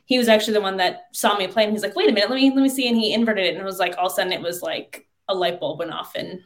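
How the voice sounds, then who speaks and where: A woman speaks as a podcast guest. She tells personal stories in a relaxed conversational style, and her voice sits at a middle pitch for a woman. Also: racing. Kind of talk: casual personal storytelling.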